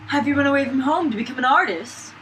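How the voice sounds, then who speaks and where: A woman's voice: high-pitched, quick pace, a bit bright, faint music behind. A young woman voicing a teasing, worldly male painter in a visual novel, with a cool, dry delivery. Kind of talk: visual novel role reading. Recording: distant, slightly noisy fallback mic.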